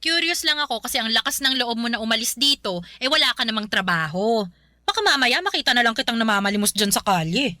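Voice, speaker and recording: A woman's voice: a little high, lively intonation, quick pace, pushed and bright, dry recording, clean studio sound. A woman voicing a stern, sarcastic older sister in a radio drama, scolding her younger brother. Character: stern, sharp-tongued older sister in her late twenties.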